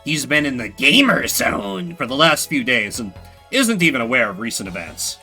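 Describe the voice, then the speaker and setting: A man streaming a game playthrough, casually commenting and reading game text aloud in an expressive, slangy way. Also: mid-range voice, normal speed, husky, soft background music.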